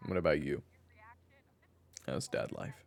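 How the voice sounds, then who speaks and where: A man playing a jaded, dryly sarcastic former thief in an audio roleplay, with a deadpan manner. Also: very deep voice, unhurried, creaky, full character voice. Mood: wry. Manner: deadpan, dry humor. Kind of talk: audio roleplay acting, phone conversation.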